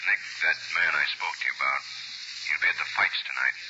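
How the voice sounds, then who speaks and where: A clipped, businesslike prosecutor in a 1940s radio play. Deep, fast and forceful, over a muffled old broadcast. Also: male voice, a little hiss or hum, exaggerated voice. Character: brisk male district attorney.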